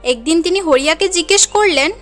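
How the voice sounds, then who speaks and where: A woman's voice: extremely high pitch, lively intonation, brisk, a bit bright, soft background music. A woman reading scripted storybook narration for a cartoon tale.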